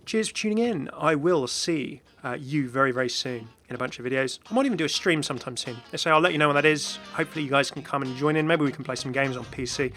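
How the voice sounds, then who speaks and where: A man's voice: a little high, fast, hardly any pauses, a bit bright, faint music behind. A man talking casually and unscripted as a gaming YouTuber, rambling chattily as he goes. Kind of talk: casual unscripted video commentary and channel update.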